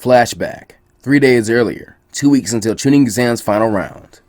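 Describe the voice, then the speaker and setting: A man reading a story aloud as an animated narrator. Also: medium pitch, moderate pace, dry recording, clean studio sound. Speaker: fan fiction reader.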